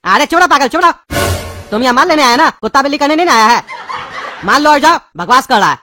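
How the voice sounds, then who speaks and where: A man voicing an irritable shopkeeper father turned talking dog in a cartoon, scolding in a Bihari Hindi accent with a pitched-up voice. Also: animated, fast, pushed and bright, faint music behind, full character voice. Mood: irritated. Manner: scolding, blustering.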